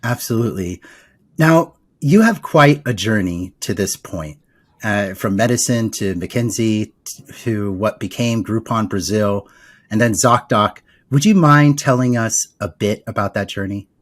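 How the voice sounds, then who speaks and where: Man, podcast host, lowish voice, polished, upbeat, interviewer. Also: unhurried. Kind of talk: scripted intro, then interview.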